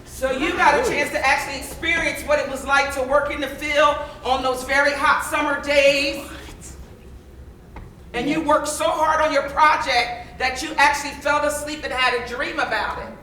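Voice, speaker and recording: An encouraging schoolteacher, played by a woman projects to a theatre in a high, bright voice. She is effusive and earnest. The sound is very reverberant, with a distant mic. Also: fairly even intonation, moderate pace. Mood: upbeat. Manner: effusive, praising. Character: earnest encouraging female schoolteacher who believes in her students. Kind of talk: stage play acting, projected. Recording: echoey stage play, distant mic, faint noise.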